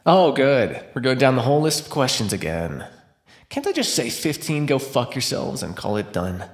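A man's voice: medium pitch, wildly swooping pitch, normal speed. A man playing a defiant captive vampire in an ASMR roleplay, talking with sarcastic, taunting flippancy. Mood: irritated, defiant. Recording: roomy echo.

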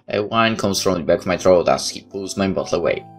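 A man hosting a let's play, reading a visual novel aloud with expressive storytelling and casual asides. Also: low-pitched, fairly quick. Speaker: let's play host and narrator. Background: game music.

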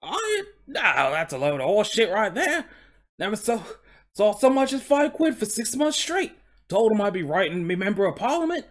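High-pitched proud Cockney talking horse. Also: male voice, big pitch swings, moderate pace. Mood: indignant. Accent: put-on Cockney. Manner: colloquial, deferential, boastful working-class patter. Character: proud, chatty, aggrieved male talking coach horse from London.

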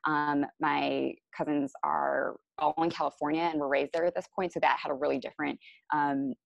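A young woman from the Chicago area presenting over slides on a video call, relaxed and full of ums and self-corrections. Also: low voice, normal speed, creaky, no echo. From Chicago area, USA. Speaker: panelist. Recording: dull lo-fi video call audio.

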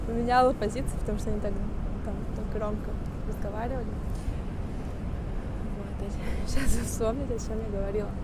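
A woman's voice: medium pitch, fairly even intonation, a little fast. Girl from russia talking to her camera in a park, lots of fillers, traffic noise all around. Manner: chatty, giggly, restarts and fillers. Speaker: vlogger. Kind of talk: casual vlog talk to camera. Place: city park outdoors, windy. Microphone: handheld camera at arm's length. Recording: outdoor street noise. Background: street traffic and passersby.

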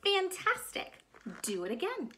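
A woman voicing a bold, flattering young princess from a storybook, in an emphatic, exclaiming manner. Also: very high-pitched, a little slow, pitched above the performer's own voice, full character voice, rough recording. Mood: eager flattery.

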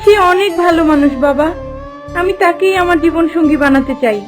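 A woman playing a gentle, lovestruck young landlord's daughter in a cartoon fairy tale. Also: very high voice, a little fast. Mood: pleading. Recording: clear music behind the voice.

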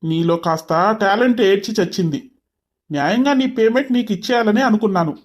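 A man's voice: high-pitched, fairly quick, natural voice. Young male boss, acted by a man: arrogant, curt, mocking, short fuse, English phrases mixed into story dialogue. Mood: mocking, defensive.

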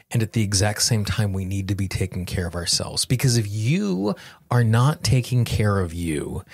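A man hosting a self-help podcast, coaching listeners directly in measured, deliberate phrases. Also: on the low side, no echo, studio quality. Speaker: podcast host and tapping coach.

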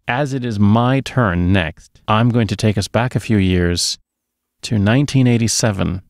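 A man's voice: fairly low pitch, vocal fry, dry recording. A man in his thirties or forties co-hosting a movie podcast, reviewing films casually on a close microphone. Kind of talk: casual film review chat. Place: small dark home studio. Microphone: close podcast microphone on a boom arm.

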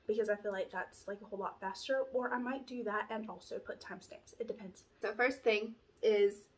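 A woman's voice: medium pitch, fairly even intonation, fairly quick. A young woman with a stuffy nose from allergies, chatting through a casual pregnancy update at home, rambling with lots of fillers. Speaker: vlogger. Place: small room at home, indoors. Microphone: camera in front, framed at chest.

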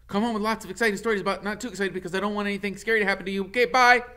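A squeaky, hyper little squirrel. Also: male voice, steady tone, sharp tone. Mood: gushing. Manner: rapid, breathless chatter.

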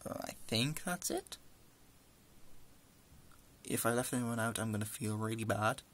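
A man's voice: mid-range voice, a little slow, a bit bright. Chatty young man, northern English accent. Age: young adult. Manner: chatty and rambling, with dry asides. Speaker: vlogger. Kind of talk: casual answering of a question list.

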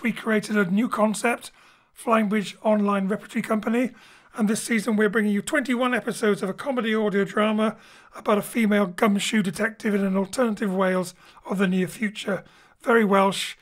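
A man, a director and host, giving an informal spoken introduction to an audio show. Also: high voice. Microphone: home studio microphone over internet link.

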